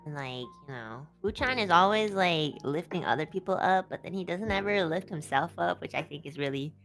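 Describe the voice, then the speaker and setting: A woman's voice: fairly low pitch, lively intonation, brisk, soft background music. A woman whose first language is Chinese, chatting casually and awkwardly on a stream, giggling and praising her friend. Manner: giggly, awkward, warmly complimenting. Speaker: guest streamer.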